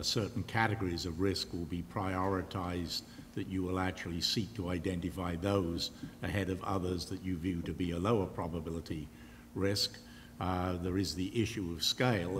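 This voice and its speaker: An older man speaks from a panel table in a large auditorium, choosing formal, elaborate words at a measured pace. His voice sits very low. The sound carries a long hall reverb with faint background noise. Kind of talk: panel discussion answer.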